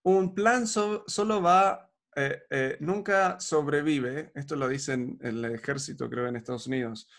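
A man's voice: on the high side, unhurried, gravelly, few pauses, fairly bright tone. Upbeat coaching man in his forties. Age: 40s. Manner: upbeat, coaching tone with frequent fillers. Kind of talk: casual podcast chat.

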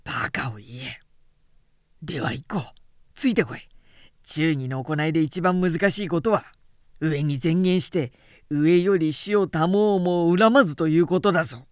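A woman voicing a stern, loyal male general who speaks in a measured, admonishing way, in an audiobook novel. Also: deep voice, no echo, clean studio sound, lightly acted. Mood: solemn. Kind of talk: literary audiobook dialogue.

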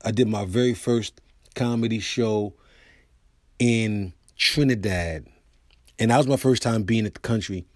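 A man's voice: on the low side, unhurried, long pauses, no echo, studio quality. American man telling stories on a podcast. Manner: animated storyteller, acts out quoted voices. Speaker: podcast host and comedian. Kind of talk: casual storytelling monologue.

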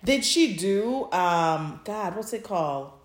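A woman's voice: mid-range voice, animated, slow pace. A woman co-hosting a podcast, chatting informally and animatedly and often acting out her own thoughts. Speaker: podcast co-host. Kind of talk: casual chat about fan fiction recordings. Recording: echoey, roomy voice pickup.

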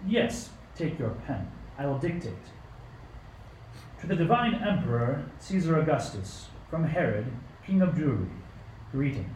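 A man playing a scheming, commanding old king in an amateur radio play, with a regal, deliberate, theatrical delivery. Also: on the high side, sing-song, a little mellow. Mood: formal, dictating. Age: older. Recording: roomy, distant mic with background hiss.

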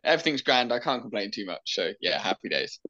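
A man co-hosting a casual podcast, talking in a relaxed, rambling way with lots of fillers. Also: medium pitch, fast, muffled recording. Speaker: podcast co-host.

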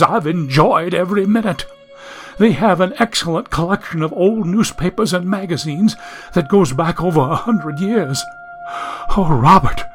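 A man reading an audiobook, voicing a genteel young woman from the 1870s who is anxious, prim and lost in the modern world. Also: a little high. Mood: troubled. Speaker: audiobook narrator.

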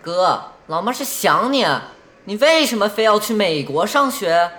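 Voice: medium pitch, sing-song, normal speed, bright, forceful tone. A performer playing a cheeky younger brother in a radio drama, teasing in a sardonic, pointed way. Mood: pleading. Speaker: voice actor. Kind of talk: Chinese radio drama dialogue.

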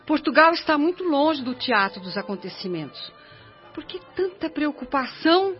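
A woman's voice: sits high, very animated, moderate pace. An actress playing a reassuring, lightly teasing female friend in a radio drama. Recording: muffled radio play with background music.

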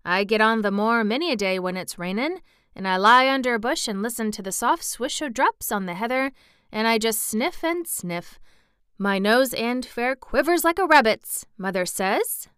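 A woman's voice: fairly high pitch, animated, normal speed, fairly bright tone, smooth, no echo, studio quality. A woman voicing a cheerful, hardy twelve-year-old country boy who loves nature, speaking hearty, earnest Yorkshire dialect in a storybook reading. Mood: cheerful, proud.

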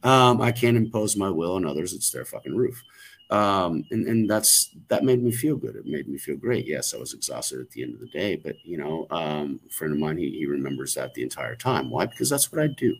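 Man, very low voice, rambling podcast talk, lots of ums, swears casually. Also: big pitch swings, a little fast, gravelly, husky. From United States. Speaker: podcast co-host.

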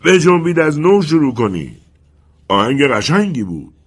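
A man's voice: mid-range voice, animated, slightly rough, voice pushed up. A man doing a bitter, greedy old colonel. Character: bitter, greedy middle-aged male colonel.

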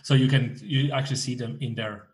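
A man's voice: medium pitch, steady tone, fairly quick. Man hosting a chatty podcast interview. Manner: searching, restarts questions, laughs often. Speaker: podcast host. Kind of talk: casual interview chat.

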